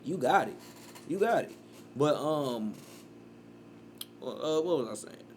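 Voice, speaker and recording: A man, reacting to a clip in rambling casual commentary and breaking into loud outbursts. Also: a little high, lively intonation, slow, a little hiss or hum. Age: 30s.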